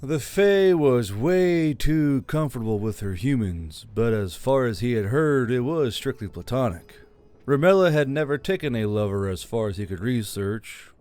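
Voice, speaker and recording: A man's voice: mid-range voice, animated, moderate pace, darkish tone. A man reading scripted fiction as an audiobook narrator.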